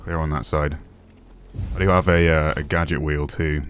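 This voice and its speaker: A young man with a deep voice giving casual gameplay commentary as a streamer, relaxed with excited asides. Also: normal speed, a little hiss or hum. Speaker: gaming streamer.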